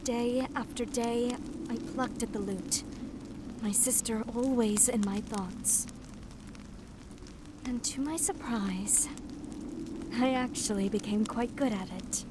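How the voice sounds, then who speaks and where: A woman playing a wistful wandering lute player of humble birth in a video game, telling her story in a theatrical, measured way. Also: a little high, very noisy.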